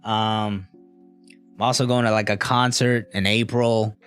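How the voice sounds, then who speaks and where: A man's voice: on the low side, level tone, unhurried, fairly bright tone, clean tone, no echo, soft background music. Laid-back young man chatting with a live chat. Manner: laid-back, slangy, rambling. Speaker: streamer answering live chat. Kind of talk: casual live stream chat.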